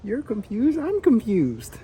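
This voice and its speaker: Animated, slangy young-sounding man pulling pranks. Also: high-pitched, slow pace. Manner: slangy, profane, joking. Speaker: prankster and vlogger. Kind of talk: casual prank vlog chat with mock announcements into a walkie-talkie. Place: inside a large retail store.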